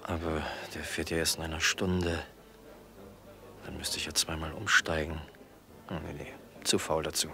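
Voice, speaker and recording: A man's voice: very deep voice, flat delivery, moderate pace, creaky, long pauses, pushed and bright, full character voice. An actor playing a breezy, self-satisfied male travelling salesman in a radio drama, speaking in casual, clipped phrases. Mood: idle musing. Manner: casual, clipped musing to himself. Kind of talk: German radio drama acting.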